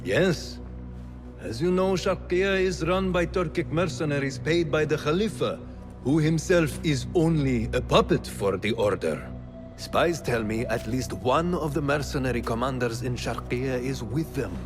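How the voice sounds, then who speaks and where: A man playing a grave, cautious assassin mentor in a video game, speaking with deliberate authority. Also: mid-range voice, music in the background, lightly acted. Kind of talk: dramatic video game acting.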